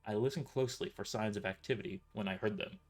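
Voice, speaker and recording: A man's voice: fairly low pitch, quick pace. Male narrator for an audio novel, amateur read with stumbles and restarts, faint music underneath. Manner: stumbles and restarts sentences while reading. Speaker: author narrating his novel. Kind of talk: first-person audio story narration.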